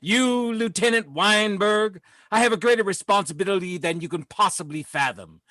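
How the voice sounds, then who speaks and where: Haughty military colonel for courtroom drama, male actor: high, sharp voice, very dry sound, contemptuous and emphatic. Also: moderate pace, dry recording. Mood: impassioned contempt. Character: arrogant, commanding male Marine colonel on the witness stand. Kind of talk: dramatic script reading.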